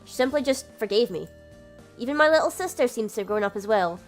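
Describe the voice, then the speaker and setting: Picture a sheepish, exasperated young man telling his own story as a game narrator, read by a woman. The voice stays fairly high with only a slight drop for the role. The delivery is measured and steady. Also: faint music behind, natural voice. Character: young adult man, a flustered older brother who narrates. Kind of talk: reading a visual novel aloud.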